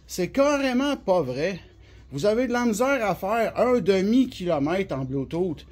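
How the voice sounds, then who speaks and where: Man, Québécois French accent, high-pitched voice, down with flu, informal product demo, colloquial, repetitive step-by-step explaining. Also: sing-song, measured pace, a little mellow. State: has the flu, coughing now and then. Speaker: CB radio specialist giving a product demonstration. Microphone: phone held by the speaker.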